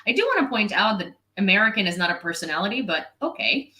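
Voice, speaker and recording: A woman's voice: mid-range voice, lively intonation, quick pace, sharp tone, roomy sound. A young woman from Ukraine who speaks Ukrainian natively, frankly and sarcastically answering a viewer comment in casual vlog commentary. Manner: frank and sarcastic, with filler words and occasional swearing. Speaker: independent reporter and vlogger.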